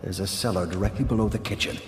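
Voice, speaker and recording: An actor playing a secretive, wealthy aristocrat in a video game, speaking smoothly and with deliberate insinuation. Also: male voice, on the low side, fairly even intonation, natural voice. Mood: conspiratorial. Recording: echoey, loud music behind.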